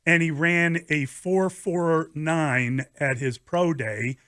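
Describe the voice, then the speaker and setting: A man's voice: on the high side, slow pace, fairly bright tone, no echo, clean studio sound. A man hosting a sports radio show, talking through football in a conversational style.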